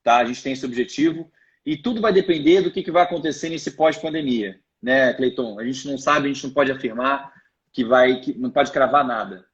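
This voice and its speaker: A man's voice: mid-range voice, a little fast. Hesitant man on a muffled call. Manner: hesitant, many restarts and fillers. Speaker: guest, financial education project member. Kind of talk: live online interview. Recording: muffled video call audio.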